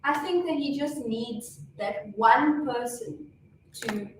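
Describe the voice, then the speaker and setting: A woman's voice: high-pitched, takes it slowly, recorded from a distance, slight background noise. A South African woman co-hosting a casual reaction chat, laid-back and joking. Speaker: co-host. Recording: very echoey room, away from mic.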